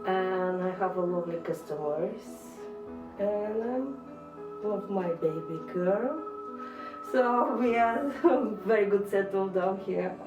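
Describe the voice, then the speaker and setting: A woman from Bulgaria with a Bulgarian accent gives an informal personal account in simple non-native English with short pauses. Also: medium pitch, muffled recording. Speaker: dressmaker being interviewed. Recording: roomy, distant mic, music behind.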